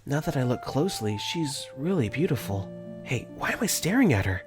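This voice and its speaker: A man's voice: medium pitch, big pitch swings, a little fast, music in the background. A man narrating a visual novel fan dub in first person, earnest and easily flustered.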